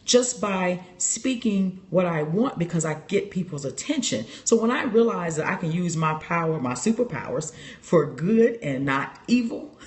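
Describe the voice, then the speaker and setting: A woman hosting a casual livestream on her phone, animated and laughing often as she talks straight to her viewers. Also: fairly low pitch, moderate pace. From Chicago. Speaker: livestream host. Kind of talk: casual personal talk to viewers.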